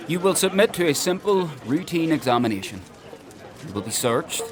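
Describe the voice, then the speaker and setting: An actor playing a stern, authoritative official in a dark fantasy audio drama, declaiming formal orders. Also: male voice, on the high side, big pitch swings, normal speed, crowd noise. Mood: commanding. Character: stern male official ordering refugees about, coldly authoritative.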